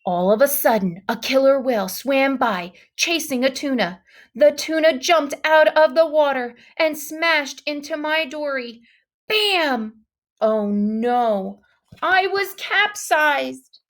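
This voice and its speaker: A woman with a high voice reads a children's storybook aloud. She takes her time, stretching important words for effect. Her delivery is dramatic and expressive, with emphatic exclamations. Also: slow pace. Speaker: storybook reader.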